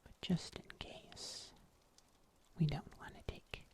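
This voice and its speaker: A performer playing a calm huntsman healer in an ASMR fantasy roleplay, whispering in an unhurried, hushed way. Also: close to an everyday voice. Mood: calm, focused. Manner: unhurried, hushed, gently chiding, murmuring to self.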